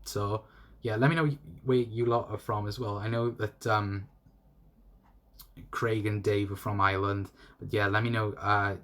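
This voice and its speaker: A man's voice: fairly low pitch, little pitch movement, unhurried, fairly bright tone. A young man from South Wales with a South Welsh accent, hosting a solo fan livestream and reading chat comments in a rambling, repetitive, friendly way. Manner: rambling, repeats himself, friendly to chatters. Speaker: fan channel host.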